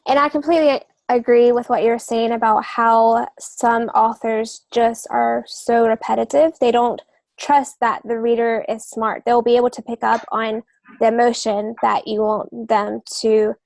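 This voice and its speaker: A woman's voice: fairly high pitch, flat delivery, a little slow, gravelly, a little mellow, no room sound. A woman co-hosting a writing podcast, explaining craft points in an earnest, wordy, conversational way. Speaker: writing podcast co-host and novelist. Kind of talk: casual instructional discussion.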